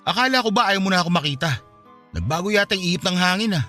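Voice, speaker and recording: A man's voice: sits high, expressive, very fast, sharp tone, faint music behind. A man playing a cold, defensive married lover in a radio drama, arguing and shifting blame. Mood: cold, mocking. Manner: argumentative, blaming. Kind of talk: Filipino radio drama acting.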